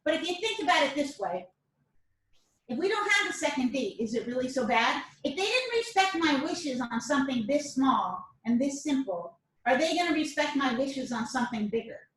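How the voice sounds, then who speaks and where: A woman's voice: sits high, sing-song, moderate pace, fairly bright tone. A woman instructor giving a casual self-defense lesson, chatty, often checking in with "all right?". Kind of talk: casual class lecture to camera. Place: dojo or training room. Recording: echoey room, mic at a distance.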